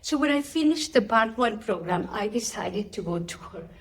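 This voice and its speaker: A middle-aged or older woman from Spain with a Spanish accent, answering interview questions and telling personal stories in an expressive, emphatic way. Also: medium pitch, moderate pace. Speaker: interviewee, spiritual teacher.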